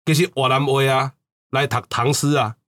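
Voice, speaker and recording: A man's voice: mid-range voice, steady tone, normal speed, a bit bright. A man with a Taiwanese accent explaining things casually as a talk-show host, often repeating words for emphasis. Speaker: podcast host.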